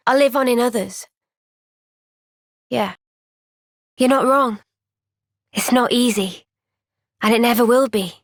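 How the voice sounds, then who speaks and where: An actress playing a young soldier heroine in a video game, earnest, gentle and resolute, speaking with soft, measured sincerity. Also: female voice, on the high side, dry recording, studio quality. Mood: thoughtful, earnest. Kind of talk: video game cutscene voice acting.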